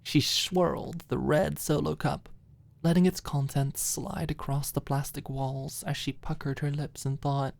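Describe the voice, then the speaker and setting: A woman's voice: low voice, steady tone, a little slow, creaky, darkish tone, no room sound. A woman reading scripted story narration as the narrator of an ASMR audiobook.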